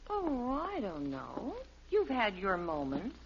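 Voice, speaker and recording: A woman's voice: medium pitch, huge pitch swings, takes it slowly, heavily acted. An actress playing a long-suffering, sensible American wife and mother in a 1950s radio sitcom, scolding but patient. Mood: gently teasing. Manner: exasperated but patient, clearly enunciated. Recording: muffled, hissy old radio recording.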